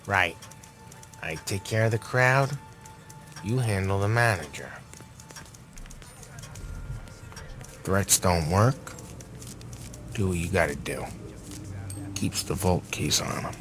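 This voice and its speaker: An actor playing a restless, world-weary American mobster nearing forty, with blunt streetwise slang, in a crime game. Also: male voice, low-pitched, measured pace, pauses often, fairly bright tone, faint music behind. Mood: grim, low. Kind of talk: cinematic crime game acting.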